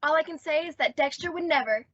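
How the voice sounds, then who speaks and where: A woman's voice: high voice, fast talker, no echo, muffled recording, lightly acted. A woman playing a dramatic, lovestruck and earnest teenage girl in an old-time radio comedy. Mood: prim, uneasy.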